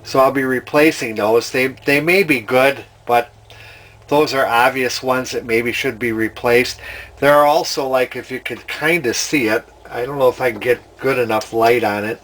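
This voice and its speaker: A man's voice: mid-range voice, moderate pace, fairly bright tone. A man giving casual hands-on repair commentary as a hobbyist, hesitantly thinking aloud as he goes. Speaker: hobbyist radio restorer presenting a repair video.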